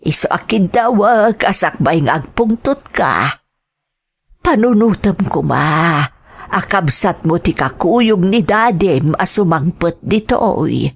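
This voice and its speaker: A worried grandmother , acted by a woman counsels gently in an audio drama. She speaks slowly and soothingly in a husky voice. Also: fairly low pitch, lively intonation, exaggerated voice. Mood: gentle, advising.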